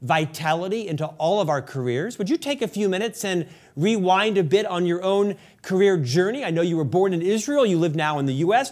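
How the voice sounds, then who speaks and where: A man hosting an interview podcast, speaking in a polished, formal and wordy presenter style. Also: sits high. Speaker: podcast host. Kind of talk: scripted podcast introduction and interview questions.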